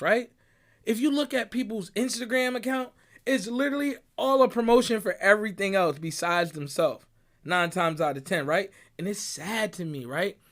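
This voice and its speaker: A young man from Barbados giving an emphatic, preachy motivational talk, constantly checking in with "right?" and "bruh". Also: sits high. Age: young adult. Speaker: motivational speaker. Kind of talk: motivational monologue. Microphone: audio clip recording.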